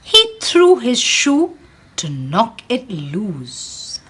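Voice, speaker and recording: Woman, teacher, picture-book read-aloud, lively swooping pitch, fairly high voice. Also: slightly rough. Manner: slow, expressive storytelling with dramatic emphasis; speaks directly to young listeners.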